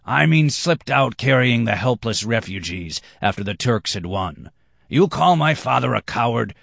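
A man voicing a drunken, boastful, impish nobleman who is quick to anger and speaks in emphatic declamation. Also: mid-range voice, lively intonation, vocal fry, fairly bright tone, husky, dry recording, clean studio sound, voice pushed up, exaggerated voice. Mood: angry, insistent.

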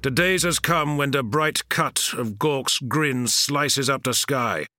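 An actor playing a zealous ork war prophet, proclaiming a dramatic prologue in a solemn, portentous manner. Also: male voice, medium pitch, slow, background noise, close to an everyday voice. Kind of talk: dramatic scripted prologue narration.

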